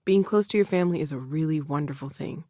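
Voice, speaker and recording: An actress playing an earnest, caring woman scientist in a video game, in a conversational, thoughtful and expressive manner. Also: sits low, fast talker, no room sound, studio quality. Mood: gentle, caring. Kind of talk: naturalistic video game dialogue acting.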